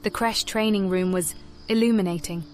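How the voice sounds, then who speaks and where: Woman playing a calm elven sorcerer. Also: medium pitch, faint music behind, natural voice. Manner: measured, questioning.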